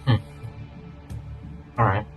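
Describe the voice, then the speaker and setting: A man's voice: very slow, phone-quality sound, music in the background. A man streaming a video game, giving casual commentary and often repeating his words and phrases.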